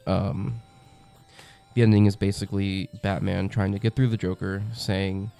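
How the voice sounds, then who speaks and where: A man's voice: deep voice, little pitch movement, moderate pace, creaky, dark tone, soft background music. A young man hosting a comic review channel, congested from a cold, talking in a chatty, enthusiastic way with lots of ums and uhs. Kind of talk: casual review monologue.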